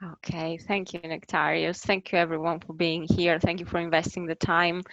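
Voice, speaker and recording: A woman's voice: on the low side, little pitch movement, normal speed, gravelly, a bit bright, dry recording. A woman in her thirties with a Greek accent, moderating an online book launch, hesitant with many fillers. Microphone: video call. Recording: dull lo-fi video call audio.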